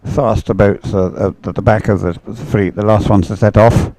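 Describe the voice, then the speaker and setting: A man giving live sports race commentary in a measured, hesitant, observational manner. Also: on the low side, steady tone, dark tone, no echo.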